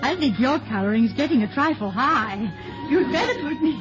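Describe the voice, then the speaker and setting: High-voiced, teasing radio sweetheart. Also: female voice, animated, moderate pace, music in the background. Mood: flirtatious. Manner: coy, lightly teasing. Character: playful, teasing, affectionate actress, the professor's sweetheart and wife. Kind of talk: 1950s radio comedy-drama acting. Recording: muffled old 1950s radio recording.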